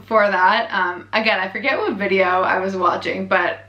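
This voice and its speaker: A woman's voice: medium pitch, steady tone, moderate pace, highs cut off. A young Canadian woman vlogger with a Canadian accent, chatting candidly and laughing often as she reacts to her own earlier video. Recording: echoey room.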